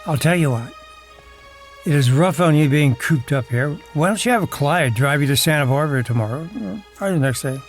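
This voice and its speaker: An actor playing a wealthy, wry, teasing husband in a wheelchair in an audio drama. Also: male voice, on the high side, normal speed, soft background music, close to an everyday voice. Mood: teasing, indulgent. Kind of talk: audio drama acting, first-person narration and dialogue.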